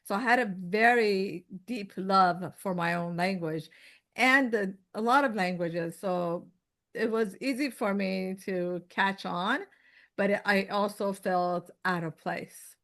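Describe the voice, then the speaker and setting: A woman's voice: medium pitch, takes it slowly, a bit bright. A woman in her fifties from Iran with a Persian accent, a guest telling her story in casual chat, rambling with many fillers and restarts. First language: Farsi. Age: 50s.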